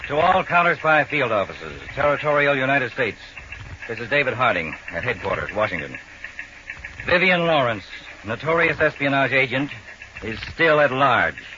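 An actor playing a stern counterspy chief, giving a clipped, authoritative bulletin in an old radio drama. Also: male voice, mid-range voice, very animated, moderate pace, natural voice. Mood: urgent authority. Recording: dull old radio recording, faint noise.

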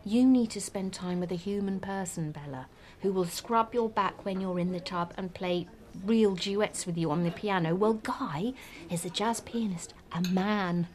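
A woman's voice: medium pitch, normal speed, a little mellow, natural voice. An actress playing a romantic, bookish woman of forty-five who talks with breezy enthusiasm. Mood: earnest. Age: 40s. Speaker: friend at lunch. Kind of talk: scripted radio drama dialogue.

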